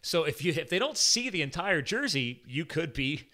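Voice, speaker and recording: A man in his forties with a Philadelphia-area American accent, hosting a casual podcast chat in an informal, animated way. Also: a little high, normal speed. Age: 40s. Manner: animated, informal, peppered with "like" and "guys". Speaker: podcast host. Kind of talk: casual conversational podcast interview.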